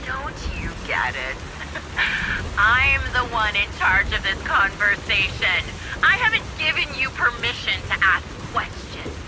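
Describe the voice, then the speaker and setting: A woman's voice: mid-range voice, big pitch swings, a little slow, noisy background. An actress playing a sadistic, gloating female sniper villain in a video game, mocking and theatrical in her menace. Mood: gloating, cruel. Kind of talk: English game voice acting. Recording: loud music behind the voice. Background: loud game music.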